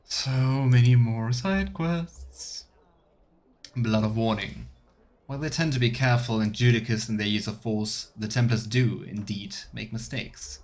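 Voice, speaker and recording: A man's voice: mid-range voice, measured pace, darkish tone. A man streaming a fantasy role-playing game, reading text aloud and chatting in a playful, self-questioning way.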